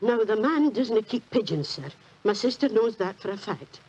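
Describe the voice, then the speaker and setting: An actress playing a humble, kindly old British landlady, husky, deferential and hesitant, in 1940s radio drama style. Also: fairly high pitch, big pitch swings, moderate pace, a little mellow, slight background noise. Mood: anxious, earnest. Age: older. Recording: dull 1940s radio recording.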